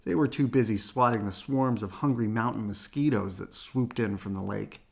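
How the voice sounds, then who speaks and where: A man reading a children's picture book aloud in an animated storytelling style. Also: lowish voice, moderate pace, vocal fry, slightly rough. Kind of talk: animated read-aloud narration.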